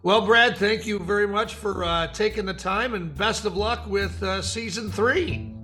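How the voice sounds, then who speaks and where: A man's voice: high voice, measured pace, sharp tone, soft background music. A man co-hosting a radio show, bantering casually and reading out offbeat news.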